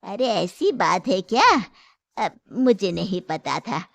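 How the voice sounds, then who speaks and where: A woman playing a gentle, patient elderly mother-in-law who keeps a small shop in a Hindi moral story. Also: medium pitch, lively intonation, slow, gravelly, dry recording. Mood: kindly, indulgent.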